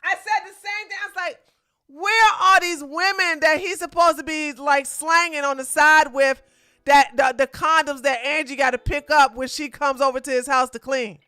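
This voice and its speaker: A woman streamer reacting to a video in casual, blunt commentary, speaking with emphatic force and sometimes breaking into loud, indignant outbursts. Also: high-pitched, fairly even intonation, normal speed, faint music behind, some chatter behind.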